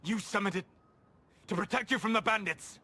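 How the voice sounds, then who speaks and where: An actor playing a grave, scholarly elven male mage with measured, formal phrasing in a video game drama. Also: sits high, pushed and bright, in a large hall. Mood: cold fury.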